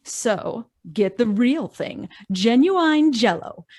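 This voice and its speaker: A woman's voice: sing-song, takes it slowly, a little mellow, no echo, clean studio sound, full character voice. A woman reading an upbeat, persuasive old-time radio commercial over a video call. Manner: upbeat, persuasive sales pitch. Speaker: radio announcer. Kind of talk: vintage radio ad.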